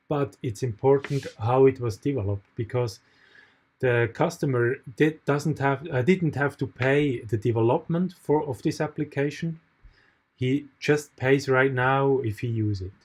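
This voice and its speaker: A Swiss man with a mid-pitched voice teaches an online course in English, his second language. He pauses often, fills gaps with "uh" and restarts sentences as he walks through a shared screen. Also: a little mellow. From Switzerland. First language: not English. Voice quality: hesitant, frequent fillers and restarts. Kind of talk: recorded tutorial with screen share.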